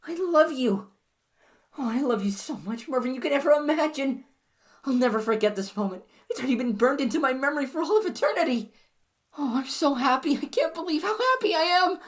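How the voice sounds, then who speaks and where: A woman voicing an obsessive, clingy young lover in an audio roleplay, speaking softly and pleadingly in a husky, whispery voice to the listener. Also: very deep voice, lively intonation, normal speed, pauses often, full character voice. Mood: giddy overjoyed.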